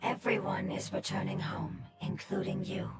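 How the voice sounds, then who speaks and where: A woman voicing a small, gentle forest spirit who speaks in a soft, soothing hush. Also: medium pitch, monotone, moderate pace, vocal fry, over loud music, natural voice. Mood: tender. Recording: loud background noise in the game audio.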